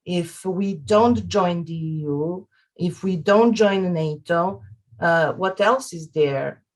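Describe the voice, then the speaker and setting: This is a woman from Serbia speaking on a discussion panel. She talks slowly in a fairly low voice, earnest and measured. She stops often to search for her words. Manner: measured and earnest, with frequent hesitations.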